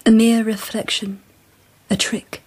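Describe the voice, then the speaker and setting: An actress playing a dignified, perceptive empress in a radio drama, breathy, poised and quietly wary. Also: female voice, fairly high pitch, level tone, low-quality recording. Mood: reflective, shrewd. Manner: poised, formal, deliberate.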